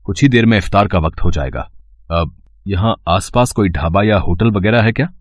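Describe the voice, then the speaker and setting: A man voicing a courteous, mildly troubled traveller in a cartoon story, with a husky voice and measured manner. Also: deep voice, lively intonation, no echo. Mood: troubled, polite. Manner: polite, measured. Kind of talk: cartoon moral story acting.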